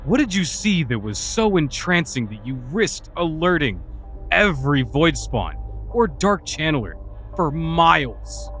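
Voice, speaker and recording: A man's voice: on the high side, big pitch swings, unhurried, fairly bright tone, exaggerated voice. An actor playing a scornful, exasperated male warlock in a fantasy audio drama, scolding and sarcastic. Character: irritable, scornful male dark magician and warlock. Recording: music behind the voice. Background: music.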